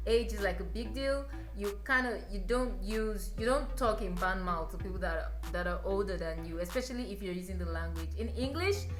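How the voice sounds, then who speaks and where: Brief: young woman, chatty vlogger, echoey room, faint music behind. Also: mid-range voice. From Nigeria. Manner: chatty, repeats words for emphasis, lively asides. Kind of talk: casual chat to camera.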